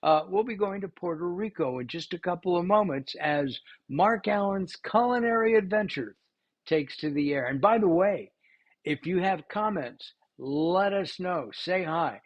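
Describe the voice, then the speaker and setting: Slow, rambling male cooking host. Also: high voice, animated, muffled recording. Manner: rambling, many fillers and restarts. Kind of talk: casual cooking show talk.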